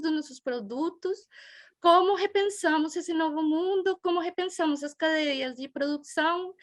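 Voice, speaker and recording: High-pitched Brazilian woman, gentle host. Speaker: event mediator and host. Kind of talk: heartfelt opening of a group gathering.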